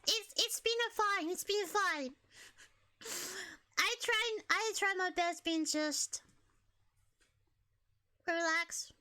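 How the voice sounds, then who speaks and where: Finnish-accented woman, very high voice, slow unhurried talk, giggly streamer chat. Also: sharp tone. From Finland. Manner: giggly, chatty, stumbles over words. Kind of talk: casual live-stream chat.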